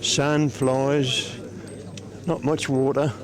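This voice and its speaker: A man's voice: medium pitch, level tone, drawn-out words. An older man, a war veteran, casually recalling his service in a matter-of-fact way during an interview. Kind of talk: casual recollection. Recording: outdoor crowd chatter behind.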